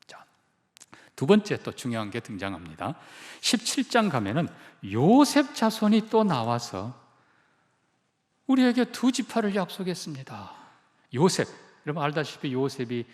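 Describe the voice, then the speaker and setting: A man's voice: on the high side, long reverb tail. A male pastor preaching a sermon, emphatic and putting questions to the congregation. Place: church sanctuary.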